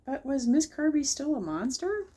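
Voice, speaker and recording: A woman's voice: high voice, sing-song, moderate pace, warm tone. A woman hosting a children's storytime, reading a picture book aloud and chatting directly to young listeners. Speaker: library storytime host.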